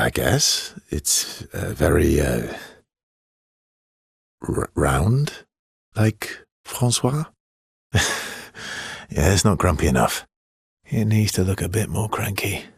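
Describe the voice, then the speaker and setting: Husky, low-key wry adventurer. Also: male voice, dry recording, clean studio sound, heavily acted. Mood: playful, awkward. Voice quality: husky, gravelly. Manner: wry, teasing, halting. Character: wry, guarded adventurer man with a soft heart. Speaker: video game voice actor. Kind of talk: naturalistic game dialogue acting.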